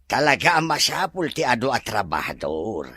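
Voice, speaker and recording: A man's voice: medium pitch, very animated, moderate pace, sharp tone, husky, dry recording, clean studio sound, full character voice. A man playing a sheepish older farmhand in an Ilocano audio drama, stammering deferentially with an Ilocano accent. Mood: earnest. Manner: stammering, interjection-heavy.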